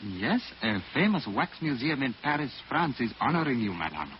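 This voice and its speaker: An actor playing a polite, wise servant companion in a 1940s radio drama, formal, deferential and fond of solemn sayings. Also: male voice, mid-range voice, big pitch swings, normal speed, lightly acted. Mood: courteous, sage. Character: polite, wise, proverb-quoting male servant companion from Asia. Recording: muffled, hissy old radio recording.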